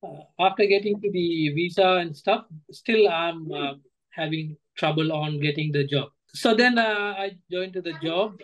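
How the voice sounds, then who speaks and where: Male interview guest, thirties, Sri Lankan accent, slightly high voice, hesitant delivery over a video call. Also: poor audio. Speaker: guest, former coaching student. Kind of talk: interview answers, personal account. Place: indoor room, on a video call.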